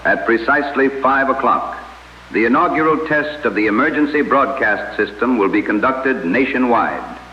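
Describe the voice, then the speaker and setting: A man playing a composed, official emergency broadcast announcer, reading formally and in a measured way. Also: medium pitch, natural voice. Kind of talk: scripted old broadcast announcement. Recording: muffled, echoey old radio broadcast sound.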